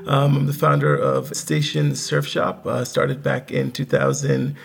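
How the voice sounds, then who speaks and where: A man from Barbados calling into an advice show, speaking earnestly and haltingly with many fillers. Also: mid-range voice, very animated, vocal fry. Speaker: caller, surf shop founder. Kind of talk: casual call-in chat.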